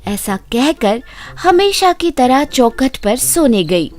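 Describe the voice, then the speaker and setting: A woman's voice: high-pitched, very animated, slow, darkish tone, faint music behind. A woman narrating a scripted children's story as a storyteller.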